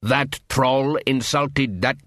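A man voicing an indignant, grudging dwarf in a fantasy audiobook, insistent and shouting accusations with drawn-out words. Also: medium pitch, no room sound, studio quality.

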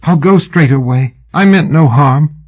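A man's voice: fairly high pitch, big pitch swings, measured pace, husky, phone-quality sound, voice pushed up, exaggerated voice. A man reading an audiobook, voicing a frightened young British woman who pleads desperately and breathlessly. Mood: pleading. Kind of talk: audiobook character voice.

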